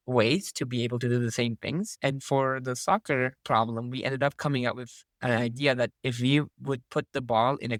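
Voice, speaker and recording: A man's voice: medium pitch, fairly bright tone, dry recording. A young man from Turkey, an MBA student, talking in a casual interview, thoughtful and full of "like" fillers. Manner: thoughtful, uses many "like" fillers.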